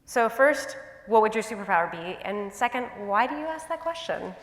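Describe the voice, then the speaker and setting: A woman's voice: fairly high pitch, animated, a bit bright. Woman moderator in a roomy, echoing hall. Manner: measured, with filler pauses. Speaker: professor and moderator. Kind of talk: onstage interview questions. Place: university auditorium. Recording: roomy hall with long reverb.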